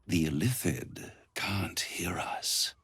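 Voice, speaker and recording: Video game villain: ancient scheming devil, grandiose and suave, low gravelly purr with husky edges. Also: male voice, fairly bright tone, dry recording, whispered, full character voice. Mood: silky, confiding. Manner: silky, grandiose, savours words with dramatic pauses.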